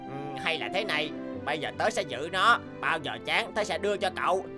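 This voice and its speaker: A woman's voice: low-pitched, lively intonation, brisk. A woman voicing a big, smug, selfish schoolboy in a cartoon, with a cocky, taunting manner. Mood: scheming. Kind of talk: animated cartoon dialogue. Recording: background music under dialogue.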